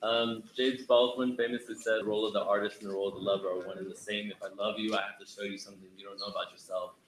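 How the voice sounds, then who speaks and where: A man's voice: fairly low pitch, little pitch movement, rapid-fire, echoey room, a little hiss or hum, low-quality recording. A man speaking on a stage panel about making art, fervent and earnest. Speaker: panelist, artist. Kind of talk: earnest reflective talk. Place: theater stage.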